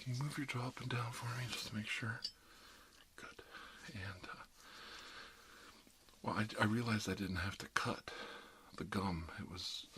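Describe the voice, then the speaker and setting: A man playing a gentle, reassuring dentist in a soft-spoken ASMR roleplay, murmuring hesitantly and often slipping into a whisper. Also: low voice, noisy background. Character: gentle dentist treating a vampire patient.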